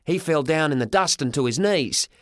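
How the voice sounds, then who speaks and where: A man narrating a children's story in the first person as an expressive audiobook reader. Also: on the high side, moderate pace, no room sound, clean studio sound.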